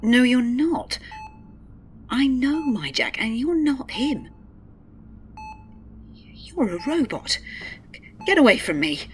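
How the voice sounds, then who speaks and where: A woman playing a confused, fearful wife in a radio drama, speaking in an emotional, halting way. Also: high voice, big pitch swings, slight background noise, full character voice. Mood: frightened, insistent. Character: confused, fearful wife rejecting her husband as impostor.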